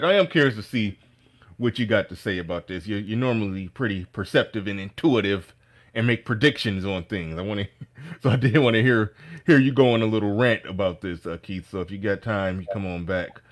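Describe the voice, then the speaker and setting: A man in casual online chat, rambling with many fillers. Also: lowish voice.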